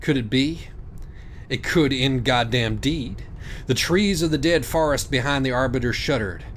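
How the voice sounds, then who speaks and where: A man's voice: medium pitch, moderate pace, a bit bright, slight background noise. A man reading a parody audiobook as a dramatic narrator, with a theatrical, sardonic manner.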